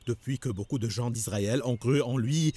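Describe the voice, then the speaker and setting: An actor playing a resentful, bitter rabbi conspirator in a cartoon. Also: male voice, medium pitch, moderate pace, mellow, husky, dry recording, close to an everyday voice. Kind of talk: animated cartoon acting.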